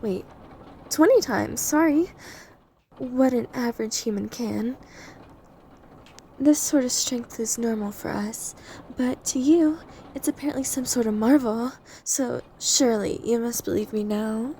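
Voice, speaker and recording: An unhurried, slightly high female vampire acted by a woman in an intimate ASMR roleplay. She is coy and eerily polite, teasing as she rambles through explanations, with creaky fry in her voice and a faint hiss on the recording. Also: warm tone, poor audio. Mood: smug, playful. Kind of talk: scripted ASMR roleplay addressed to the listener.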